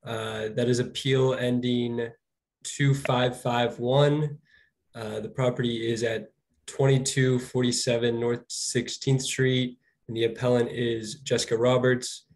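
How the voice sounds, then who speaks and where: A slightly hoarse man speaks to an appeals board over a video call. He is polite and deferential, and his pitch is ordinary for a man. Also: little pitch movement, unhurried, a little hiss or hum, poor audio. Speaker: law department representative. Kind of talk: formal hearing remarks.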